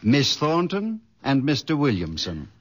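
An actor playing a stiff household servant in a radio drama, a man speaking formally and haltingly. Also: medium pitch, wildly swooping pitch. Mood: deferential. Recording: old radio broadcast, faint noise.

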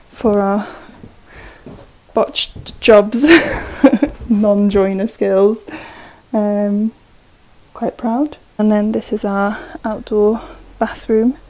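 A young woman giving a relaxed, chatty walkthrough of her land and barn, with plenty of fillers and soft laughs, recorded on an earphone mic outdoors. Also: mid-range voice, extremely slow, low-quality recording. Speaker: vlogger. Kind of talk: casual walkthrough narration.

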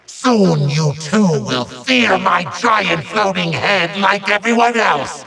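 An actor playing a pompous, short-tempered evil sorcerer villain in a video game, with a gravelly, nasal voice and bombastic, sputtering theatrical delivery. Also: male voice, sits high, animated, takes it slowly, bright, forceful tone, far from the mic, exaggerated voice. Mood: grandiose, menacing.

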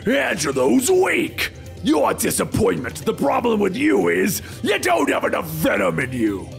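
A man voicing a boastful, brutal young man inside a monster suit, who shouts and gloats in a comic recap. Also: high-pitched, huge pitch swings, measured pace, gravelly, faint music behind, pitched above the performer's own voice, exaggerated voice. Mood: scornful.

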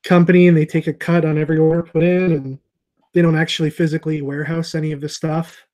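Rambling bearded comic shop host. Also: male voice, a little high, little pitch movement, quick pace, a little mellow. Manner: rambling, thinks aloud with many ums. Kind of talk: casual video call chat. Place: small room with shelves of comics behind. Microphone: earbud headset on a video call.

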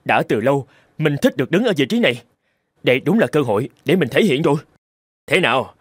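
A man's voice: mid-range voice, very animated, fairly quick, breathy edge, dry recording, studio quality. A man voicing a vain, boastful mouse leader in a cartoon, pompous and self-important. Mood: eager, scheming. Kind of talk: cartoon dubbing.

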